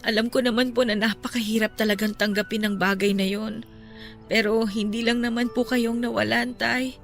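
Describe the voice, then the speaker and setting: An actress playing a caring young-adult daughter in a radio drama, talking softly and respectfully to console her father. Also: a little high, steady tone, moderate pace, creaky, faint music behind. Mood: gentle.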